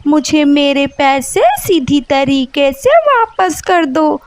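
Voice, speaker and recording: Very high, distraught cartoon crow voiced by a woman. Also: lively intonation, slow, no echo. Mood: angry, accusing. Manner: wailing, pleading, threatening.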